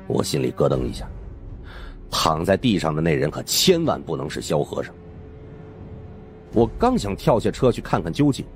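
Very deep, animated male audiobook narrator. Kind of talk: dramatic scripted first-person storytelling. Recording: faint music behind the voice.

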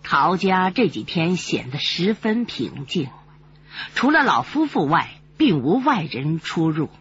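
An actress playing a composed surveillance officer delivering a report in a Chinese radio drama. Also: female voice, low-pitched, big pitch swings, a little slow, a bit bright, a little hiss or hum, full character voice. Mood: measured. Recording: dull, muffled radio drama audio.

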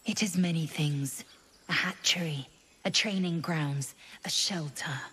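A woman's voice: deep voice, unhurried, fairly bright tone, husky, full character voice. A woman playing a haughty alien warrior in a fantasy game, imperious and clipped in manner. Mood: stern, imperious. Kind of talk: cinematic fantasy game acting.

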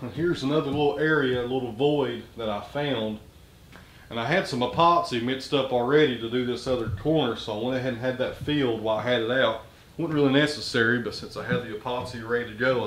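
A man's voice: medium pitch, rough recording. A man in his thirties, a woodworker vlogging in a plainspoken, chatty way, first outdoors in the woods and then in his small shop, recorded on the camera's mic. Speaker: woodworker and channel host. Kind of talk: casual workshop vlog.

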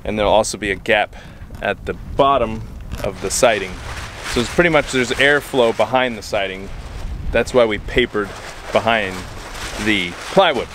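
A man in his thirties stands outdoors by a wall under construction and explains his building plan to a handheld camera in a relaxed, casual way. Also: fairly low pitch, normal speed, slight background noise. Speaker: homestead builder, channel host. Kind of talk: casual on-site walkthrough. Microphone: handheld camera at arm's length.

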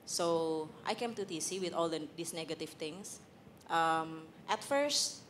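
Candid Indonesian woman, low voice. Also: slight background noise. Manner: candid, halting, with frequent fillers. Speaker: panel guest. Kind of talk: personal testimony on a church panel. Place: large hall on a stage. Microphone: handheld mic.

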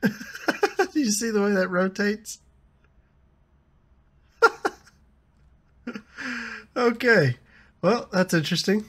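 A man in his thirties with a slightly raspy voice from a lingering cough, chatting casually over a building game and laughing often. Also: sits high, takes it slowly, long pauses, fairly bright tone. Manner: chatty, laughs often, frequent ums and restarts. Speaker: gaming YouTuber. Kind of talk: casual gameplay commentary.

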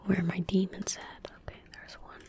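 A young woman gaming streamer in soft-spoken ASMR style, murmuring to herself in a breathy whisper at a close microphone. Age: young adult. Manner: unhurried, murmuring to herself as she plays. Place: indoor room at a gaming setup. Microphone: close desk microphone.

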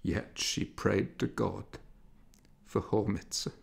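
A man narrating a literary story in a measured, dramatic storytelling manner. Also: on the low side, fairly even intonation, creaky, breathy edge. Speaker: audiobook narrator.

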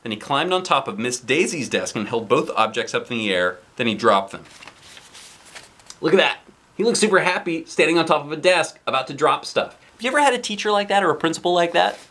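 Animated, bright-voiced man reading to children. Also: on the high side, fairly quick. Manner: expressive, playful, exaggerated delivery. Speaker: children's book reader. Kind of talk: animated read-aloud of a children's story, with asides to young viewers. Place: indoors, seated on a couch.

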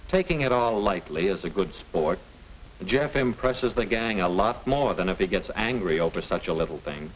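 A middle-aged American man reading scripted narration as a lecturer, didactic and deliberate. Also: sits low, lively intonation, poor audio. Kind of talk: scripted educational film narration. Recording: hissy 1950 film soundtrack.

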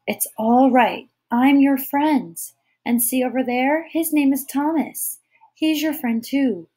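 A gentle, protective girl from a storybook, played by a woman narrator. She speaks in a soft, reassuring way. The pitch is on the high side. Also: big pitch swings, measured pace, husky, heavily acted. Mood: soothing. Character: gentle, protective young girl comforting a child. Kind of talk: audiobook character voice.

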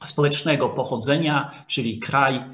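Halting male lecturer on dull online audio. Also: mid-range voice, fairly even intonation, a bit bright. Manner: halting, frequent hesitation sounds and pauses, searching for words; formal, addresses listeners politely. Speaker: lecturer, historian. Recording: dull lo-fi video call audio.

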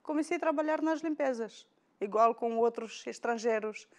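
A woman in her thirties with a Moldovan accent, a guest in a TV studio interview, speaking haltingly and searching for words, recorded on a lapel mic. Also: on the high side, darkish tone. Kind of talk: casual interview chat. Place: bright TV studio, indoors.

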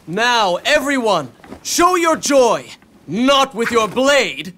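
An actor playing an elegant, flamboyant general who adores beauty in a war video game, speaking in a florid, theatrical way. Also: male voice, extremely high pitch, sing-song, takes it slowly, bright, forceful tone, a little hiss or hum, exaggerated voice. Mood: elated, theatrical. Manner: florid, dramatic.

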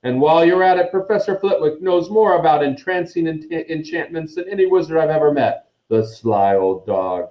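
A man's voice: a little high, animated, normal speed, few pauses, pitched above the performer's own voice, lightly acted. A man voicing a vain, beaming male wizard professor in a storybook, with grandiose, gushing speeches, self-delighted and showy. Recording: echoey room, dull muffled sound.

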